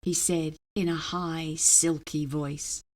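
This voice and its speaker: A woman narrating a children's story aloud in a dramatic, expressive way. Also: low-pitched, slow pace. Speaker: storybook reader.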